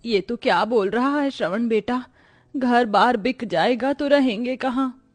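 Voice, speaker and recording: A woman's voice: fairly high pitch, unhurried, faint music behind, pitched above the performer's own voice. Woman playing a fretful, devout old mother-in-law. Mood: worried.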